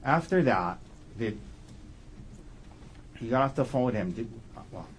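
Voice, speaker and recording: A man's voice: mid-range voice, animated. Guy lawyer questioning a witness in court, stop-start leading questions, bit of noise on it. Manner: halting, leading questions with short confirming tags. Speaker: defense attorney questioning a witness.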